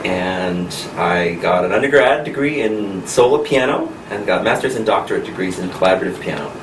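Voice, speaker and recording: A man from the United States in his thirties, a pianist and coach answering interview questions in a friendly, unhurried way with frequent laughs. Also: low-pitched, lively intonation. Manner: friendly, laughs often, speaks slowly and clearly. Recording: echoey room recorded from a distance with noise.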